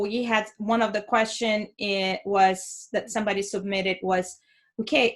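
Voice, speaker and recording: A woman with a voice of ordinary pitch hosts an interview. She talks in a measured, conversational way and asks long, winding questions. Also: fairly bright tone. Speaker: interview host.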